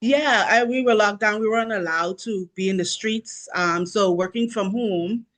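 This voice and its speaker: A woman's voice: medium pitch, moderate pace, fairly bright tone. A woman from the Bahamas with a Bahamian accent, an author answering questions in casual interview chat.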